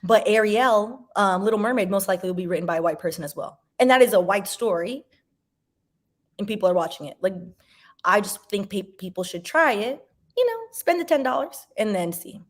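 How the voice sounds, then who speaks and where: Animated woman chatting to viewers. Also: fairly quick. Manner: animated, jumps in pitch for emphasis; talks to viewers directly, sips wine. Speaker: vlogger reading viewer comments. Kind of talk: casual commentary chat.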